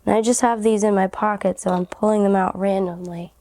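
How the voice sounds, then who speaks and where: Raspy woman with a cold, chatty. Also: medium pitch, fairly even intonation, brisk, warm tone. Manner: rambling, giggly, many fillers like "like" and "um". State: hoarse from a cold. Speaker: tarot reader, channel host. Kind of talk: casual chatty tarot reading.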